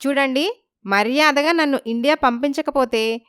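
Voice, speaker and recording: Woman voicing a high, wronged young village wife. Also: normal speed, a bit bright, no echo, studio quality. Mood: indignant. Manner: pleading, indignant questioning. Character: naive village wife, wronged, tearful and indignant. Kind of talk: dramatized moral story dialogue.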